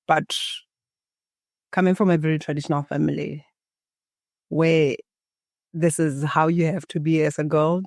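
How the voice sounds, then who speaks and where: A woman from Botswana, a Setswana native speaker, guesting on a podcast and telling her story in a calm, guiding way, as if leading a meditation. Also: deep voice, no room sound. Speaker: guest, embodiment coach. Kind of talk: podcast interview, reflective storytelling.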